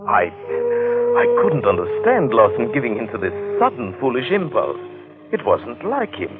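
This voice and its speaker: A man playing a genteel, curious London businessman in an old-time radio drama, with expressive, old-fashioned phrasing. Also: medium pitch, normal speed, loud music behind the voice. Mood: reflective narration. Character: John Buchan, affable curious London businessman recounting his strange tale. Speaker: narrator and lead actor. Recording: muffled vintage radio drama recording.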